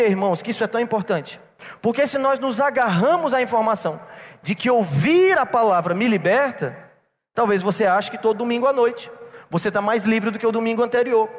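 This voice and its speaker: A man preaching a sermon to a church congregation, telling a personal story and calling them brothers. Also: sits high. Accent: non-local Brazilian. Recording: long reverb in a church hall.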